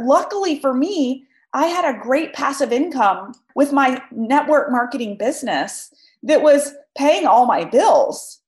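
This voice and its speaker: A woman with a high voice, made scratchy by a cold, hosts a solo podcast. She talks emphatically and right at her listeners, and the sound rings in an echoey room. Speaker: podcast host. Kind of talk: solo podcast talk, advice.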